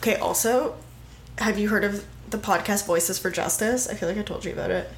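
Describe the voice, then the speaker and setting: A woman's voice: medium pitch, brisk. A young woman co-hosting a podcast in casual, sarcastic chat. The room is echoey, with a faint hiss behind her. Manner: sarcastic, chatty. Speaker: podcast co-host.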